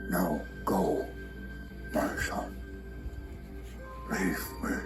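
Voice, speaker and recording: An actor playing a weary, dying old warrior father in a film, speaking tenderly in a weak, breathy, fading voice. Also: extremely high pitch, wildly swooping pitch, extremely slow, exaggerated voice. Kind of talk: dramatic film acting. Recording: loud film music over voice.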